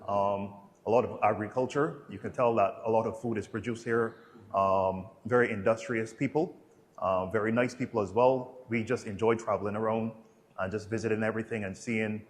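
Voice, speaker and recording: A man's voice: on the low side, breathy edge. A man in his thirties from Barbados with a Bajan accent, talking casually about his travels in a laid-back, colloquial way. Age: 30s. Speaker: travel vlog host. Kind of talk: casual travel narration and interview answers.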